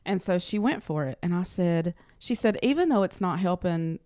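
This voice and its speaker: Low-pitched woman chatting casually, dry sound. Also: animated, normal speed, a little mellow, no room sound. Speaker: interview guest, clinic front office worker. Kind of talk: casual chat, telling anecdotes.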